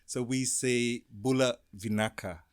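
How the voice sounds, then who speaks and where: A man's voice: medium pitch, steady tone, measured pace, clean tone. A man from Fiji, a guest on his first podcast, giving short, nervous, giggly answers. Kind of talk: casual interview answers.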